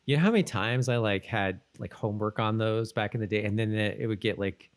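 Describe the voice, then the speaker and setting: A man's voice: lowish voice, brisk. A man co-hosting a podcast, casually talking through a TV show in laid-back, slangy speech. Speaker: podcast co-host. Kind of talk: casual TV recap chat.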